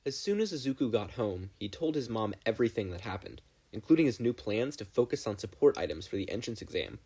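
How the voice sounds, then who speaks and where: Lively, husky-voiced man reading a story. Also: on the low side, fast talker. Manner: playful, wry storytelling. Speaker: podfic reader. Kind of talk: expressive scripted fan fiction narration.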